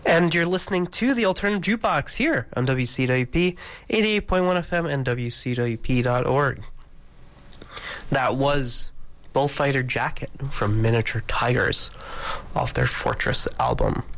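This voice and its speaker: Congested male DJ, gravelly, rambling casual studio patter. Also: medium pitch, animated, moderate pace, fairly bright tone. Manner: rambling, many ums and restarts. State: congested from allergies. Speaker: radio DJ. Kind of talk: casual radio chat between songs. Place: radio studio.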